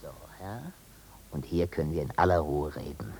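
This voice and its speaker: An actor playing a sly, servile crook who speaks obsequiously and insinuatingly in a 1950s radio drama, often dropping to a whisper. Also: male voice, a bass voice, normal speed, darkish tone, a little hiss or hum. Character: sly, servile male crook feigning deference to his victim.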